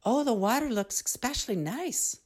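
A young storybook girl, voiced by a woman, impulsive and easily upset. Her voice sits high and moves up and down freely, with a plaintive, pleading edge. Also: animated, normal speed. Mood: tempted, eager. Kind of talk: storybook character voice.

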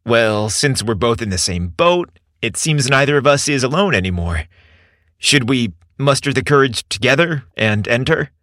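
A man acts out a kind young man in a romantic story, shy and easily smitten. He speaks softly and with hesitation, in a dry, close recording. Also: mid-range voice, expressive, moderate pace, no echo, natural voice. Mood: encouraging. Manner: soft-spoken, hesitant.

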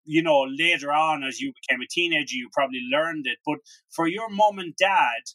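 Man interviewing, slightly high and bright. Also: fairly quick, no echo, rough recording. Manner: hesitant, repeats words while asking questions. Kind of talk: casual interview.

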